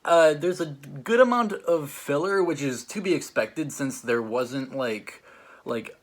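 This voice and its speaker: Higher-voiced young-sounding man rambling casually. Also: a little slow. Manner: rambling, hesitant, filled with "like". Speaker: host and judge of a challenge show. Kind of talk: casual chat, reviewing stories.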